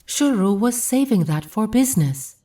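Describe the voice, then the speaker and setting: A woman's voice: mid-range voice, sing-song, normal speed, mellow, recorded from a distance. A woman playing a polite, demure young Japanese woman in an audio drama. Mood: shy, polite.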